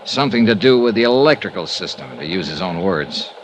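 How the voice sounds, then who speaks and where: An actor playing a tough, wry insurance investigator, narrating and talking in a 1950s radio drama with crisp, brisk delivery. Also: male voice, low-pitched, lively intonation, a little hiss or hum. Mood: determined. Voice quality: crisp, brisk, dry wit.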